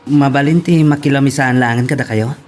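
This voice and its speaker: A man's voice: mid-range voice, a little fast, darkish tone, soft background music, natural voice. A man with an Ilocano accent playing a chatty, easygoing customer in an audio romance drama. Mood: sociable. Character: Ron, chatty, easygoing male restaurant customer. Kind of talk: Filipino radio-style romance drama acting.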